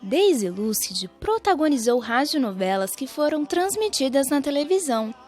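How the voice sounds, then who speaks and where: A woman's voice: high voice, sing-song, normal speed, darkish tone, faint music behind. A young Brazilian woman reading scripted narration for a radio documentary. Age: young adult.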